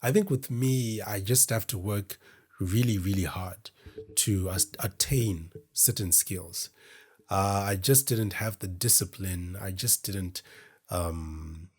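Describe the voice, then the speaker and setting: A man from Zimbabwe with a deep voice, talking to camera in a casual, meandering, self-deprecating way as host of his own show. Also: takes it slowly, slightly rough. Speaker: podcast host. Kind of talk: casual solo talk to camera. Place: indoors in front of a camera.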